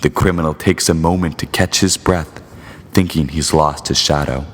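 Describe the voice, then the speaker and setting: A man's voice: extremely low pitch, steady tone, normal speed. A man playing an ominous, theatrical host who narrates a noir radio serial in florid, portentous phrases. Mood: grave, suspenseful. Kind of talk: dramatic old-time radio narration. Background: loud music.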